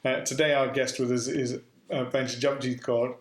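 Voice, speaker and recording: A man's voice: mid-range voice, flat delivery, moderate pace. A man hosting a podcast interview, earnest and rambling, often repeating and restarting his phrases. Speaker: podcast host. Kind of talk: casual interview conversation. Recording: roomy echo.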